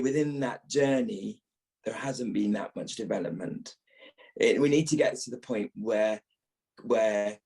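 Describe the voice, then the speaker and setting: A man's voice: fairly low pitch, gravelly, a little mellow, rough recording. A man in his fifties speaking as an actor being interviewed, reflective, stressing points by repeating phrases. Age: 50s.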